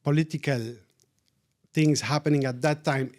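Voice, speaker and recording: A man's voice: on the high side, fairly even intonation, normal speed, a little mellow. A middle-aged man born in Syria, speaking as a guest in a casual interview and often repeating words as he thinks. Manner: thoughtful, repeats words while searching for them.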